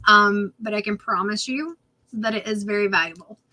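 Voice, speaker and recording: A woman's voice: medium pitch, moderate pace, pushed and bright, rough recording. A woman co-hosting a casual paranormal podcast, speaking earnestly and giving advice, with frequent ums and restarts, her voice a little worn. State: losing her voice, clearing throat. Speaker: co-host.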